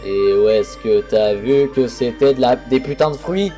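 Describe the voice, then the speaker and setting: Mellow-voiced man rambling over a game. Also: mid-range voice, a little slow, soft background music. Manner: rambling, crude jokes, frequent restarts. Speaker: let's play host. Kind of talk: casual game commentary.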